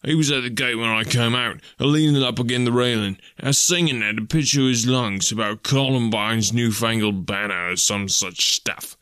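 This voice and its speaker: A man's voice: mid-range voice, normal speed, few pauses, a bit bright, smooth, no room sound, pitched above the performer's own voice, close to an everyday voice. A man voicing a simple, wary police constable from a storybook, grumbling in uneducated dialect phrasing. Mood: amused.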